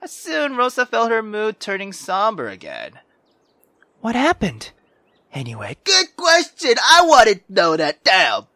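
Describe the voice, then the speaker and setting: A man's voice: sits high, huge pitch swings, unhurried, hardly any pauses, bright, forceful tone, no room sound, studio quality. A man hosting a gaming video, reading narration aloud and reacting in an informal, sweary way. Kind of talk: casual let's play commentary and read-aloud narration.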